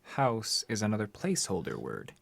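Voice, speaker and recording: An actor playing a patient but persistent male interrogator in an audio drama, questioning in a formal, measured way. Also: lowish voice, wildly swooping pitch, gravelly, no room sound, exaggerated voice. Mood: dry, probing.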